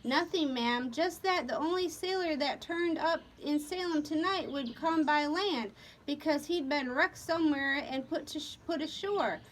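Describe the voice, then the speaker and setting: A woman's voice: high-pitched, unhurried, a little hiss or hum, voice pushed up. A woman voicing an earnest, deferential man in a storybook, pleading to be believed.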